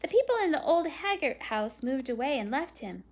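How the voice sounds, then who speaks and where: Storybook part: earnest, boyish kid acted by a woman, emphatic and eager, high pitch with lively swings. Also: normal speed. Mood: eager, hesitant. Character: eager, earnest young boy, scornful of fraidy cats.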